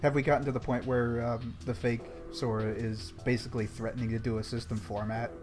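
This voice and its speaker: A man's voice: mid-range voice, fairly quick, over music. Laid-back man explaining a plot. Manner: relaxed, uses lots of "like" and "kind of". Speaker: podcast co-host. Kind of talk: casual discussion.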